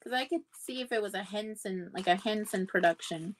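Woman, thirties, book chat co-host: mid-pitched, informal, plain-spoken and easygoing, on a video call from home. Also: brisk, poor audio. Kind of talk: casual livestream chat.

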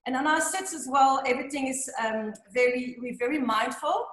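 A Belgian woman in her forties talks in an animated, gesturing way, peppering her speech with "you know". Her voice sits a little high and carries the echo of a roomy office. She is heard through a laptop mic on a video call. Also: moderate pace. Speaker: interview guest. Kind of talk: casual video-call interview. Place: bright office indoors. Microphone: laptop webcam mic on video call.

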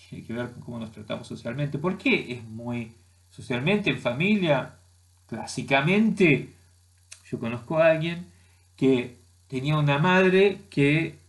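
A middle-aged man with an Argentine Spanish accent, giving an opinion monologue to camera from a small room at home. He talks haltingly and argumentatively, with many restarts and fillers. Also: a little high.

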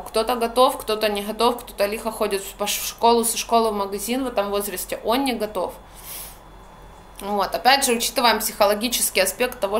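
A woman's voice: mid-range voice, slight background noise. A young woman with a Ukrainian accent, venting in a blunt, slangy, exasperated way while filming herself on a phone in a small room. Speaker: vlogger. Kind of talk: casual ranting monologue. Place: small room by a curtained window, indoors. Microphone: phone camera at arm's length.

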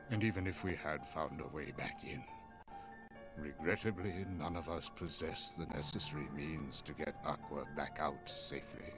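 Very deep, stern old wizard. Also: male voice. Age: older. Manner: formal, measured, grave. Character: stern, wise old wizard master in robes. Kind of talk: video game cutscene acting.

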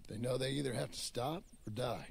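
An actor playing a rough cowboy outlaw in a video game, talking in a gruff, dry drawl. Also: male voice, medium pitch, brisk. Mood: scheming. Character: rough cowboy outlaw planning a train job.

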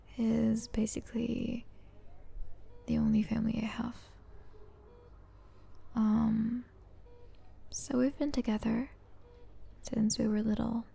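A woman playing an apologetic, overwhelmed young female student in an ASMR roleplay, with a soft, whispery, hesitant voice. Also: a little high, big pitch swings, full character voice. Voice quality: soft, breathy, often whispery. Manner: hesitant, halting.

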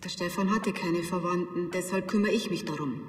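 An actress playing a composed, solemn church sexton in a TV crime comedy. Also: female voice, on the low side, fairly quick, lightly acted. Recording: echoey church, music behind.

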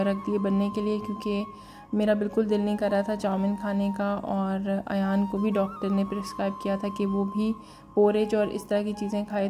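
A woman in her thirties calmly narrating her busy day as a mother, talking unhurriedly with frequent pauses and fillers and mixing in English words. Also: mid-range voice. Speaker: vlogger, mother.

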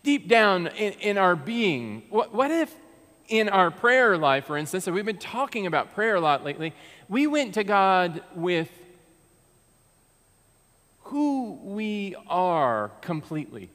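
An American man in his thirties delivers a sermon from the pulpit with an earnest, conversational manner. His voice sits fairly high and moves with lively swings of pitch, and he takes his time. The sanctuary adds a long reverb behind his words. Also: takes it slowly. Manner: earnest and conversational, with frequent restarts and gestures. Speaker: pastor. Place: large church sanctuary. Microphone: pulpit mic, far camera.

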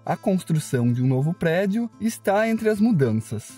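A man with a Brazilian Portuguese accent reads a campus news report in a steady, measured way. His voice sits a little high and sounds mellow. Soft music runs under it. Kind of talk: scripted news narration.

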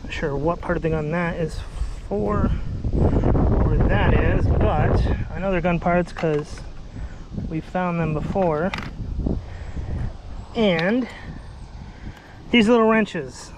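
High-voiced man vlogging outdoors by traffic. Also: takes it slowly, pauses often, muffled recording. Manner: easygoing, thinks aloud, unsure guesses. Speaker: magnet fishing vlogger. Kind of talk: casual narration while working. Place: outdoors on a bridge over water. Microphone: head-mounted action camera. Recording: loud outdoor noise, traffic passing. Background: traffic and outdoor ambience.

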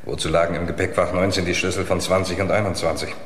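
An actor playing a businesslike investigator in a German radio crime drama. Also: male voice, sits low, steady tone, fast, roomy sound, in a large hall, a little hiss or hum, natural voice. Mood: brisk.

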